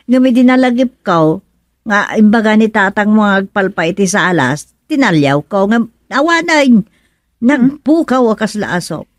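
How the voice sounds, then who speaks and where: A woman's voice: mid-range voice, very animated, moderate pace, no echo, clean studio sound, natural voice. A woman playing a worried, superstitious village wife and mother with an Ilocano Filipino accent, fretful and exclamatory in radio comedy-drama style. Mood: fretful, insistent.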